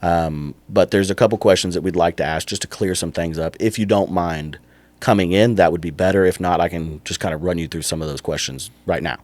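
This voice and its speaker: A man playing an awkward, apologetic clinic manager on a prank phone call, halting, polite and officious. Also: sits low, a little mellow, dry recording, natural voice. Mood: reassuring, officious.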